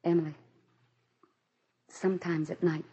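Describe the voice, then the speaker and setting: An actress playing a grieving, high-strung president's wife in a theatrical, intense 1940s radio drama style. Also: female voice, little pitch movement, unhurried, warm tone, husky, dull audio. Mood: secretive, unbalanced. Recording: old 1940s radio broadcast with music.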